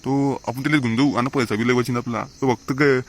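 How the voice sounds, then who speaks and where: A man's voice: mid-range voice, fairly even intonation, fairly quick, natural voice. Man acting blustering village dad, rural Maharashtrian accent. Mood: scolding. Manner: blustering, scolding. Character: scolding, stingy, unschooled village father. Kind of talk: comic Marathi village cartoon dialogue.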